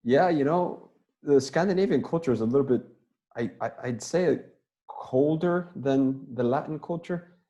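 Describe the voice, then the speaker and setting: A young man, a soccer player, answering interview questions over a video call in a relaxed, rambling way, often repeating words as he talks. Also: mid-range voice, normal speed, highs cut off. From United States.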